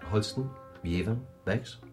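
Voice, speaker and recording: An actor playing a discreet hotel waiter and barman in a radio crime comedy, formal, polite and smoothly recommending. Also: fairly low pitch, unhurried, lightly acted. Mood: courteous. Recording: guitar music clearly behind.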